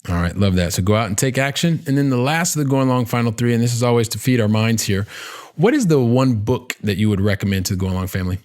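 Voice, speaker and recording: A man hosting a podcast in a conversational interview style, with wordy, halting phrasing and catchphrases. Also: mid-range voice, darkish tone. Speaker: podcast host.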